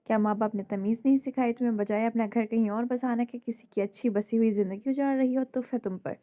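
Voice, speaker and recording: A woman's voice: on the high side, fairly even intonation, fast, warm tone, close to an everyday voice. A woman voicing a fierce protective heroine. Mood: scolding. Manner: scolding, defiant.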